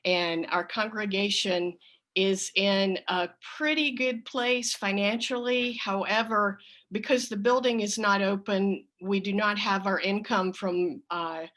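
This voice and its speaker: A woman speaking as a church leader, giving announcements and an offering appeal to her congregation in an appreciative, deliberate way. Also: medium pitch, a bit bright.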